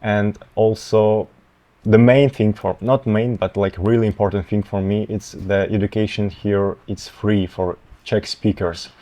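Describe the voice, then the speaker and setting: A young man in his twenties with a Russian accent, a medical student answering interview questions haltingly, often pausing to find words. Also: lowish voice, steady tone, mellow. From Tatarstan, Russia. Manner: halting, searching for words, many fillers.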